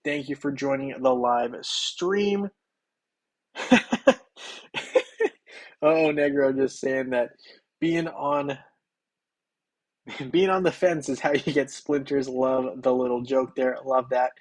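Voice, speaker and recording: A young man hosting a game livestream, his voice a little hoarse and scratchy. He keeps a casual, upbeat patter, welcoming chatters by name in a middle-pitched voice. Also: takes it slowly, pauses often. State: slightly hoarse.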